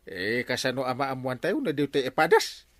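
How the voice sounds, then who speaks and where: A man with an Ilocano accent playing an excitable, scheming village captain in a Filipino comedy radio drama. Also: mid-range voice, brisk, no echo. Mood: scheming, eager. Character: excitable scheming male village captain chasing buried riches.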